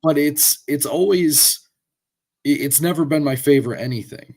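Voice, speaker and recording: A man whose voice is hoarse and rough from a lingering cold. He co-hosts a relaxed podcast chat and rambles through his opinions, circling back with fillers and restarts. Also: on the high side, normal speed. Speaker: podcast co-host. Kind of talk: casual chat.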